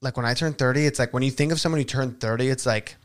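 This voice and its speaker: A man's voice: mid-range voice, fast talker. A man in his late twenties, a podcast guest, chatting casually and telling stories with lots of laughter. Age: 20s. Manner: animated storyteller, laughs often. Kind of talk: casual chat.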